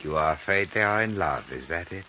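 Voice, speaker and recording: An actor playing a wise, courteous older man of a monastery in a vintage radio drama, speaking formally in measured, flowery phrases. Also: low voice, animated, pushed and bright. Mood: gentle, reassuring. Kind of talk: 1950s radio drama acting. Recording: dull 1950 radio recording, faint noise.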